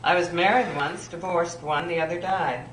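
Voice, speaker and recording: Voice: medium pitch, steady tone, moderate pace, fairly bright tone, a little hiss or hum, close to an everyday voice. A performer reading the part of a blunt, weary elderly woman in a comedy table read, with a dry delivery, in an echoey room with chatter. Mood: dryly blunt. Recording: echoey, distant mic with background chatter.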